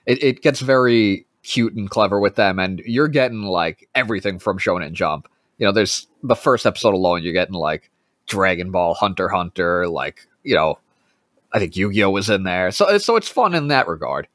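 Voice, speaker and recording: A man co-hosting a podcast in casual chat, animated and wry, quick to push with questions. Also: low voice, no echo, clean studio sound. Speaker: podcast co-host.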